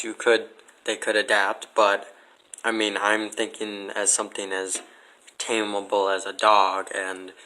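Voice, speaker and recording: A man's voice: lowish voice, bright, forceful tone, a little hiss or hum, rough recording. A man as a podcast guest in casual debate, speaking in a skeptical, blunt, sardonic manner. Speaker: podcast guest answering questions.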